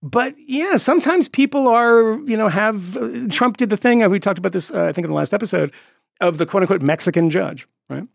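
A man co-hosting a podcast, talking in a quick, digressive, jokey way about politics. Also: high voice, gravelly, no echo, studio quality. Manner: quick, digressive, jokey, slips into put-on voices. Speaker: podcast co-host. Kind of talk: casual political chat.

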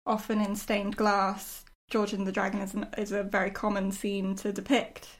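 Woman writer chatting casually about making a show, agreeable, normal mid pitch. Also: monotone, moderate pace. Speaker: writer discussing their own show. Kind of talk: casual reflective conversation.

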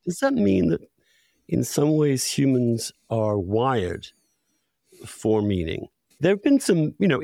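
A mature man with a mid-pitched voice hosts a conversational interview. He muses out loud and makes his points with emphasis and opinion, recorded dry with very little room sound. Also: no room sound. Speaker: podcast host, interviewer.

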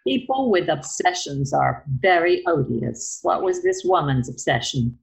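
Actress as a prickly, animated, tart woman. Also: deep voice, gravelly, exaggerated voice, low-quality recording. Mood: scornful. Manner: tart, pedantic, quick to retort. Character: prickly, defensive, self-deprecating woman being courted. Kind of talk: romantic comedy audio play acting.

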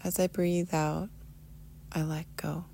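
Female meditation guide, very even and low, soothing and unhurried, drifting toward a whisper. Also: slight background noise. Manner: soothing, unhurried, often trailing into breathy near-whisper. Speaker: guided meditation teacher, podcast host. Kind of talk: guided meditation, soft instruction.

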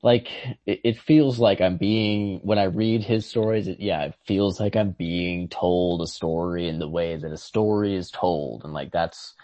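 A man with a low-pitched voice talks casually as a co-host. He feels his way through his opinions, halting and restarting as he thinks aloud. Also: mellow. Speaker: podcast co-host. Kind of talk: casual literary chat.

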